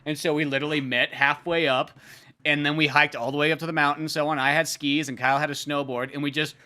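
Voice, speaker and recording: Young adult male co-host, forceful bright tone, slangy casual read-along banter, quick to laugh and mimic. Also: on the high side, flat delivery. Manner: laughs often, acts out lines and sound effects; slangy and profane. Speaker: podcast co-host and comic creator. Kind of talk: casual chat, animated comic read-along commentary.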